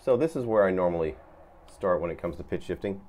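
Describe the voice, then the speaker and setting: A man's voice: low-pitched, animated, fast talker. A man giving an off-the-cuff, casual guitar effects tutorial, laid-back and rambling as he searches for words. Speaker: guitar effects demonstrator, host.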